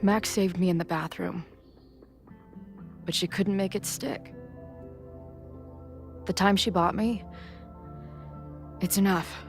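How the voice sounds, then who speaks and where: A woman's voice: mid-range voice, fairly even intonation, takes it slowly, pauses often, soft background music. An actress playing a weary, wry young woman resigned to death, plain-spoken and sardonic, in naturalistic video game dialogue. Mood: weary, self-sacrificing.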